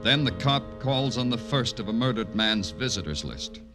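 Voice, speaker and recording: An actor playing a weary, hardboiled police detective, brooding and poetic in the style of 1950s radio noir. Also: male voice, medium pitch, animated, moderate pace, lightly acted. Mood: grimly poetic. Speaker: detective narrator and lead. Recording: old radio recording with music behind.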